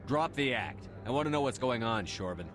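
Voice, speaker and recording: An actor playing a tough, terse, no-nonsense military commander in a video game. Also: male voice, medium pitch, expressive, a little fast, music in the background, lightly acted. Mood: stern, demanding. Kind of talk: video game character acting.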